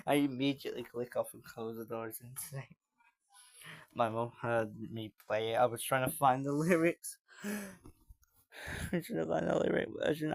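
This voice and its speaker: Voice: mid-range voice, vocal fry, no room sound. Teen streamer w a manchester accent, rambly chat, laughs a lot, dead dry close mic. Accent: British, Manchester. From England. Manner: chatty, rambling, laughs often. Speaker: live streamer reacting to videos. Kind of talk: casual reaction chat.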